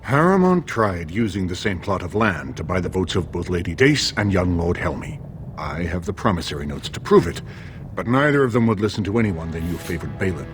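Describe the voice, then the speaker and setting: An actor playing a brusque, impatient dwarven schemer in a fantasy video game. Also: male voice, low-pitched, big pitch swings, fairly quick. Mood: stern, scheming. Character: scheming, impatient male dwarven noble's political aide. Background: game music.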